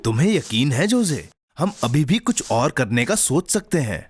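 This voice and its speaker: A man voicing a cautious, gentle, sensible friend in a children's cartoon, with patient, careful delivery. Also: mid-range voice, sing-song, normal speed, noisy background, lightly acted. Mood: doubtful. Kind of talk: Hindi children's cartoon dubbing.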